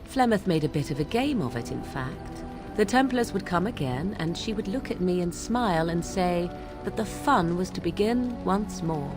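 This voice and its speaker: A woman's voice: on the low side, animated, normal speed, natural voice. An actress playing a sly young witch who teases in a wry, archly formal way, with game music behind her. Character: sly young female witch, mocking and aloof.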